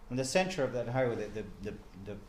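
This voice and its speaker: A man from Buenos Aires with an Argentine Spanish accent, a journalist and professor giving an informal talk to a group, halting and full of restarts, often checking in with the room. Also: medium pitch, lively intonation. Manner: halting, many fillers and restarts, asks the room questions. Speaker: guest speaker, journalist and professor. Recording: echoey room, faint noise.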